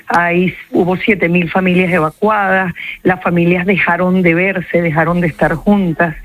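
A woman's voice: fairly low pitch, no echo, phone-quality sound. A woman with a Venezuelan accent, a psychologist answering interview questions in a reflective way and repeating key words for emphasis.

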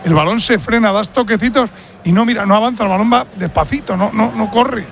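Gravelly fast-talking pundit. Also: male voice, high-pitched, soft background music. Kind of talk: teasing football chat.

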